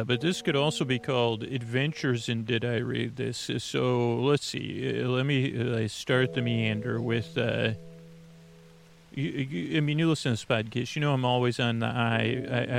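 A man hosting a bedtime podcast with a gravelly, creaky voice, rambling and halting as he repeats words and trails off. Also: mid-range voice, fairly even intonation. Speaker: podcast host. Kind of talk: rambling unscripted bedtime storytelling.

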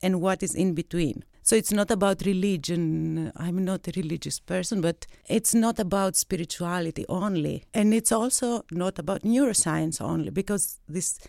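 A woman's voice: on the low side, moderate pace, vocal fry, few pauses, warm tone, no room sound. A woman from Greece in her thirties, a research associate and PhD candidate, giving thoughtful interview answers in a studio and searching for her words now and then. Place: recording studio.

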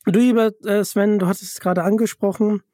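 A podcast host talks in a casual, conversational way, halting and doubling back on words. The voice sits at a middling pitch and is recorded very dry, with hardly any room sound. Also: steady tone, warm tone, no room sound. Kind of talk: casual podcast interview chat.

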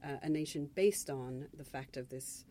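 A woman from Zambia, a novelist speaking on a panel in a reflective, measured way, often restarting mid-phrase. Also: sits low, mellow, a little hiss or hum. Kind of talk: panel discussion.

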